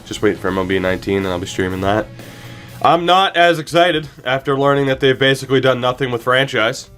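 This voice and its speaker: A man commentating on a video game livestream, talking to his viewers in an animated way and repeating phrases for emphasis. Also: medium pitch, brisk, soft background music.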